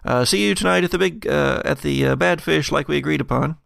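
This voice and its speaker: A man with a gravelly voice improvising narration as game master of a tabletop roleplaying game, in a halting, conversational way. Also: fairly high pitch, fairly bright tone, dry recording, studio quality.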